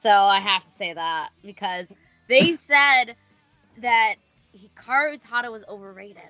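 A woman around thirty co-hosting a casual podcast, chatty and playfully indignant with quick reactions. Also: mid-range voice, expressive, sharp tone, no echo, dull audio, faint music behind. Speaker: podcast co-host.

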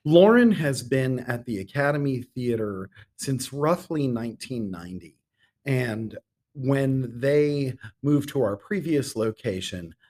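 Slightly husky middle-aged man, measured. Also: mid-range voice, darkish tone. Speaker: interview guest, theater artistic director. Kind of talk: conversational interview answers.

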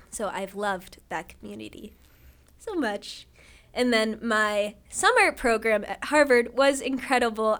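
A teenage girl from Ohio, a high school senior, chatting enthusiastically as a podcast guest. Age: teens. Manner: enthusiastic, upbeat. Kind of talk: casual interview chat.

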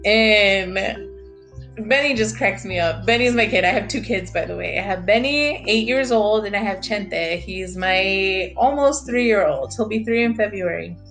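A woman in her thirties talks straight to her podcast listeners, quick and excited, drawing out her words. She swears casually and slips Spanish phrases into her talk. Faint music plays softly underneath. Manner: excited, fast and high-pitched, stretching words, swearing often. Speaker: podcast host.

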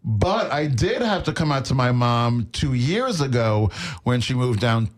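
A man guesting on a radio chat show, chatty and quick with quips, talking about his own life. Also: medium pitch, animated. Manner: chatty, quippy. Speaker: drag bingo host guest. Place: radio studio.

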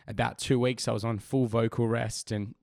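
A man's voice: mid-range voice, flat delivery, moderate pace, vocal fry, darkish tone, no echo, studio quality. A man hosting his podcast, chatty and enthusiastic with lots of fillers, his voice still recovering from laryngitis. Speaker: podcast host. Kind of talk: casual podcast intro and interview.

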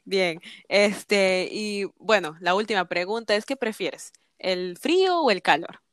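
A chatty young woman in her twenties hosts a relaxed podcast interview, laughing often and filling pauses. Her voice sits at a middle pitch and sounds dry and close, with no room around it. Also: no room sound. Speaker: podcast host.

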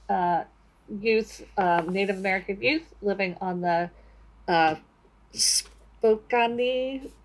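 A woman co-hosting a podcast, talking off the cuff and halting as she searches for words. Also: mid-range voice. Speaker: podcast co-host. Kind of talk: casual, unscripted book summary.

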